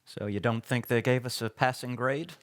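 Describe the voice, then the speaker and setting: An actor playing a serious, probing interviewer and narrator in a radio documentary drama. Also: male voice, mid-range voice, moderate pace, natural voice.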